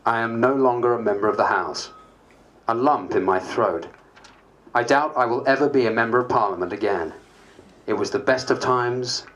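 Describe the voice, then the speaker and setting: A man's voice: medium pitch, normal speed, fairly bright tone, close to an everyday voice. A man playing a weary, reflective politician, reading a diary aloud with theatrical expression.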